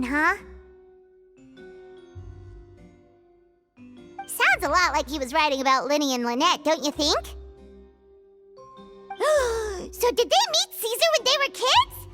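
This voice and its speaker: Squeaky, excitable little fairy girl. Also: moderate pace, soft background music, exaggerated voice. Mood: curious, excited. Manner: speaks of herself in third person. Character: small excitable floating fairy girl companion, curious, childlike. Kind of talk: animated video game dialogue.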